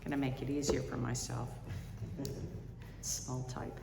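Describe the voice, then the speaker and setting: A woman giving a reflection at a service, reading spiritual writing aloud in a measured, reflective way. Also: a bass voice, level tone, creaky. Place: large indoor chapel or hall. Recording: echoey hall with reverb, faint background noise.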